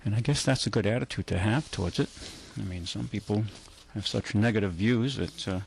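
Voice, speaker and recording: Gravelly-voiced man hosting radio. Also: fairly low pitch, brisk, a little hiss or hum, rough recording. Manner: relaxed, rambling with fillers. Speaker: radio talk show host, car dealer. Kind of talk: casual call-in radio chat.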